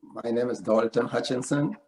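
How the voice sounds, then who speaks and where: A man's voice: mid-range voice, moderate pace, darkish tone. An older man from Trinidad with a Trinidadian accent, telling his story in an interview in a proud, earnest way. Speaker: interviewee, father of a footballer. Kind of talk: casual interview.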